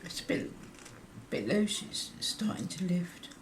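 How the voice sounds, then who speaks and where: Slow, croaky woman murmuring a craft demo. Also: lowish voice, big pitch swings, a little hiss or hum. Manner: slow, half-murmured commentary while working, often dropping to a whisper. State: croaky voice from illness. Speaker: card-making tutor. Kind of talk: hands-on craft tutorial.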